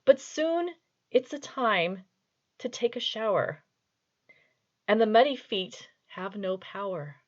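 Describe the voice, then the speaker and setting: A middle-aged woman, an author, chatting casually and reading poetry in a playful, mock-serious way on a lo-fi home recording. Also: on the low side. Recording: dull, lo-fi home video audio.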